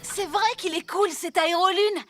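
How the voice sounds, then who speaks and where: High, confident little boy hero. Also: steady tone, unhurried, a crowd in the background. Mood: decisive, confident. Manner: decisive, heroic. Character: confident, earnest little boy superhero in a cat costume. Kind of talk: French cartoon dubbing. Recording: loud music under the voice.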